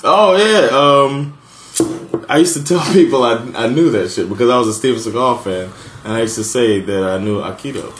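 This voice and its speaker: Animated American man, echoey room. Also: normal speed, a little hiss or hum. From Miami, USA. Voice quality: high voice for a man. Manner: animated storyteller, laughs often, swears freely. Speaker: podcast co-host. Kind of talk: casual storytelling chat.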